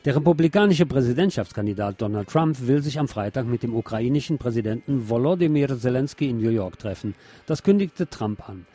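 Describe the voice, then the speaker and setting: A man's voice: mid-range voice, expressive, fairly quick, warm tone. A man reading scripted news commentary in an opinionated way. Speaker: news presenter.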